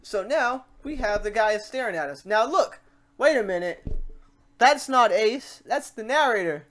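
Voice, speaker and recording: A woman hosting a casual software tutorial, talking through each step aloud in an informal, playful way. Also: a little high, lively intonation, unhurried. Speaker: tutorial host.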